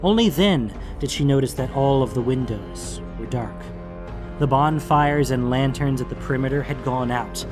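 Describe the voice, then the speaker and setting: Male audiobook narrator, mid-pitched, dramatic scripted fantasy read, clear music bed. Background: background music.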